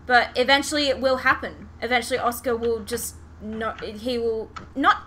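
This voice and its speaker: Woman, podcast host, casual animated chat, swearing, forceful bright tone, noisy recording. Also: on the high side, moderate pace. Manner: animated, sweary, giggly retelling. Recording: clear background noise.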